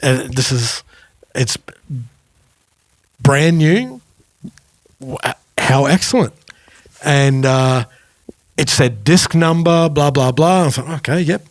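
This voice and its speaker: Guy on a music podcast, casual chatty, mid pitch voice, really dry sound. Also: expressive, slow pace, pauses often, no echo. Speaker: podcast co-host.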